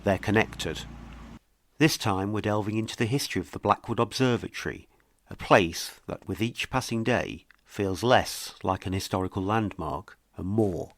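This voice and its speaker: A man's voice: lowish voice, no echo. A man hosting and narrating a scripted true-crime mystery series. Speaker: podcast host and narrator.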